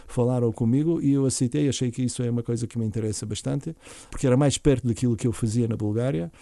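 A middle-aged man from Bulgaria speaking with a Bulgarian accent, recounting his story in an interview in a halting way, with restarts. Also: mid-range voice, gravelly, mellow, dry recording, studio quality. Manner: halting, with frequent restarts and fillers. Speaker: interview guest, violinist and orchestra founder.

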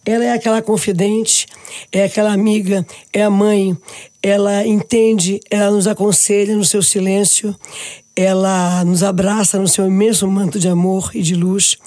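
A woman's voice: medium pitch, flat delivery, moderate pace. A woman from Pará with a Brazilian accent, a guest in an interview, telling stories about her faith with feeling and many restarts. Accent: Brazilian Portuguese. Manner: warm, devout, emotional storytelling with many restarts. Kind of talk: casual interview talk.

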